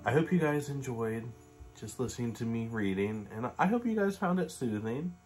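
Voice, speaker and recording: A man in his late twenties, sitting on a living room couch and reading a Christmas story aloud in a gentle, casual way. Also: medium pitch, animated, normal speed, husky, faint music behind. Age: late 20s. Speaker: storybook reader. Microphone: webcam facing him at close range.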